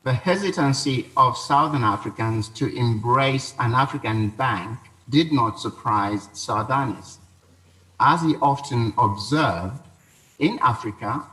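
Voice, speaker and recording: Male eulogist, mid-pitched, formal and solemn, measured scripted delivery. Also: a bit bright, low-quality recording. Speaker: funeral eulogy speaker.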